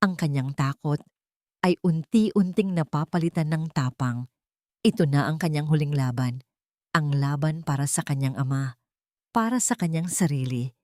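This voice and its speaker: A woman's voice: deep voice, normal speed, a little mellow, no room sound, clean studio sound. A woman reading a dramatic scripted story as its narrator.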